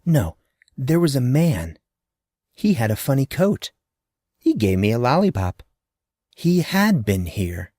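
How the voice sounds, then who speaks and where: A man reads fantasy fiction as a dramatic narrator. His mid-pitched voice moves in lively swings, with suspenseful short phrases and a close, dry sound. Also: takes it slowly, long pauses, dry recording.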